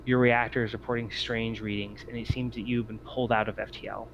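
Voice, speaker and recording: A man's voice: lowish voice, little pitch movement, fast, a bit bright. A man narrating a tabletop roleplaying game as its game master. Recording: muffled lo-fi mic with clear noise.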